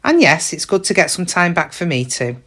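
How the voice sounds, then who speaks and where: A woman's voice: fairly low pitch, lively intonation, a little fast, a bit bright. A middle-aged woman playing a downtrodden shop cashier in a reflective, confiding, plain-spoken dramatic monologue about her regrets. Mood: reflective, hopeful. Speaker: actor in a monologue. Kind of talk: first-person dramatic monologue.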